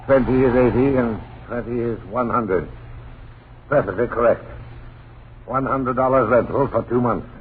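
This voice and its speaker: An actor playing a nervous, evasive house rental agent, officious and stammering, repeating himself, in vintage radio drama style. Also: male voice, medium pitch, normal speed, close to an everyday voice. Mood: fussy, businesslike. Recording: tinny, noisy old radio broadcast.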